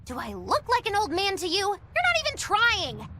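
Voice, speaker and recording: A woman's voice: extremely high pitch, huge pitch swings, moderate pace, very noisy, full character voice. A woman playing a sly, theatrical young witch in an anime game dub, speaking in an arch, wordy, teasing way. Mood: exasperated pleading.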